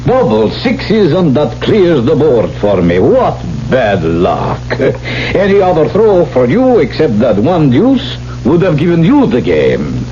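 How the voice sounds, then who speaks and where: A smooth, calculating suitor, wildly swooping intonation. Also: male voice, on the high side, huge pitch swings, heavily acted. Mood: smugly polite. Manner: formal, measured, courtly. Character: smooth, calculating older suitor gambler, scheming for a bride. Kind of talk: 1970s radio drama acting. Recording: muffled, hissy old radio broadcast.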